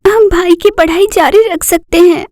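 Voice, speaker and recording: A woman voicing a poor, selfless young girl with an earnest, pleading manner in an animated moral story. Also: extremely high pitch, normal speed, no room sound, studio quality, voice pushed up.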